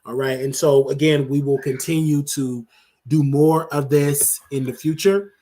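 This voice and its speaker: A man's voice: fairly high pitch, measured pace, dark tone. A man from Chicago hosting his own show, earnestly making a political endorsement to viewers, with frequent ums and uhs. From Chicago, Illinois. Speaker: show host.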